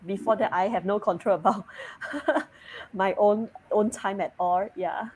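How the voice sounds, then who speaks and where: A woman in her thirties from Singapore with a Singaporean English accent, a guest chatting openly in an interview over a video call, often breaking into soft laughs mid-sentence. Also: medium pitch, measured pace, slightly rough, rough recording. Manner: laughs lightly mid-sentence, relaxed and open. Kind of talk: casual interview chat.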